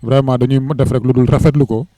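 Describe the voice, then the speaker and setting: Earnest Senegalese man, mellow voice. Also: medium pitch, fairly even intonation, fast, no echo. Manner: earnest, mixes in French words. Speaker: civil registrar. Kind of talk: interview testimonial.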